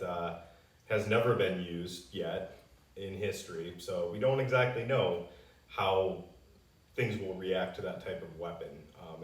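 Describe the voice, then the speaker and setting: An American man who represents a company, explaining answers to customer questions in a plain, conversational way. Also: low voice. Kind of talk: casual explanatory Q&A. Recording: roomy echo, distant microphone.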